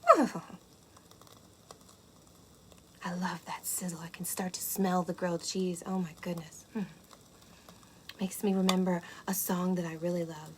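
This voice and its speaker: A woman playing an excitable, gentle unicorn who presents a children's cooking show, talking to young kids with wonder and breathy asides. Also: fairly low pitch, moderate pace, lightly acted. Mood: delighted, eager. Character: excitable, gentle, impatient female unicorn hosting kids' show. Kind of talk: children's cooking demonstration to camera. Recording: clear steady background noise.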